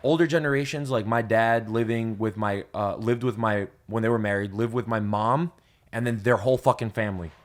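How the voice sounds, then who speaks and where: A man's voice: on the low side, steady tone, fairly quick. A young man from New York hosting a casual podcast chat, telling stories in a loose, rambling way with plenty of swearing. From New York, USA. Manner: loose and profane, rambling storyteller. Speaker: podcast host.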